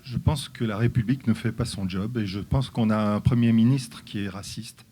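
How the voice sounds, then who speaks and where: A man's voice: on the low side, normal speed, mellow, slight background noise. A man from Switzerland speaking bluntly on a debate panel. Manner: blunt, outspoken.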